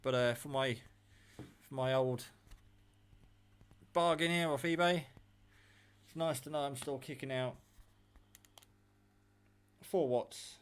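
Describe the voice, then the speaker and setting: A man's voice: mid-range voice, slow, pauses often, clear, resonant tone. A man casually narrating a hands-on gadget test, chatty and rambling, with a matter-of-fact, self-deprecating manner. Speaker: radio hobbyist demonstrating equipment. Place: indoors at a table at home. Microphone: handheld phone camera, operator off screen.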